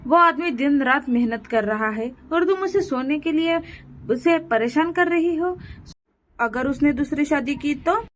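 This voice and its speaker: A wise owl queen lectures firmly, stern and matter-of-fact, as a woman puts her on. Her voice sits fairly high, and she speaks like an elder in a children's fable. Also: expressive, unhurried, a little hiss or hum.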